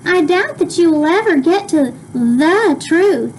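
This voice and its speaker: A woman's voice: very high voice, animated, darkish tone, slight background noise, pitched above the performer's own voice, poor audio. A woman reading aloud voices a pompous, doubtful know-it-all boy. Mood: lofty. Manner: pompous, drawn-out.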